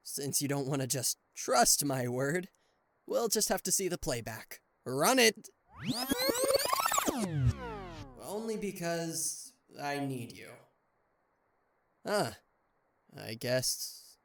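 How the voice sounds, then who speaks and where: A man playing a charismatic, flustered king of hell as a boyfriend in an ASMR roleplay audio drama, with a theatrical, halting delivery. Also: medium pitch, lively intonation, very noisy. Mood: reluctant, flustered. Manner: theatrical, hesitant stammering, exasperated sighs.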